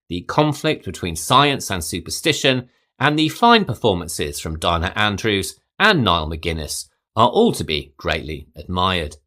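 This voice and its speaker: A man, hosting a podcast and reading a scripted film commentary in a measured, articulate way. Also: low voice, pushed and bright. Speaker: podcast host.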